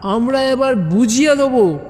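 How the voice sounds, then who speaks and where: A man voicing a pompous, aggrieved fat club president in a comic audio story, pleading plaintively and haltingly for respect. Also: extremely high pitch, animated, a little mellow, big-room sound, pitched above the performer's own voice. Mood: proud, announcing. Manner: plaintive, self-important, halting with filler words.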